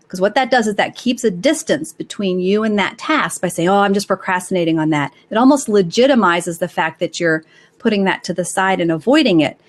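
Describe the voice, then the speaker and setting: A woman hosting a live advice show, talking conversationally in an encouraging, explanatory way to her audience. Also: mid-range voice. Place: live online call-in broadcast.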